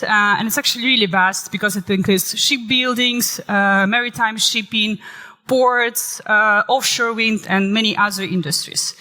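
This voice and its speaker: Bright-voiced woman speaking in a reverberant hall. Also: a little high. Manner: lively, shifts into dramatic storytelling. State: recovering from illness, saving her voice. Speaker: conference speaker. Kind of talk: conference talk with a dramatized story. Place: conference hall.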